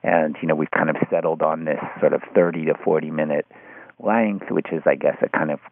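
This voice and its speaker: Low creaky man on a phone-like line. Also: steady tone, fairly quick, no echo. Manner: hesitant, circling, heavily hedged phrasing. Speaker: podcast discussion participant. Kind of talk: casual analytical discussion.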